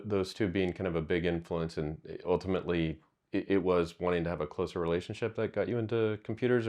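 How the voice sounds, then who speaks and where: A man's voice: sits low, darkish tone. A man hosting a podcast interview, asking friendly, open questions. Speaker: podcast host.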